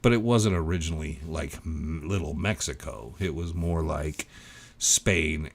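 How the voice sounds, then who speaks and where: A man's voice: rumbling low, gravelly. A middle-aged man hosting a livestream, chatting casually and reading out viewer comments in a rambling, self-interrupting way. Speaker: livestream host.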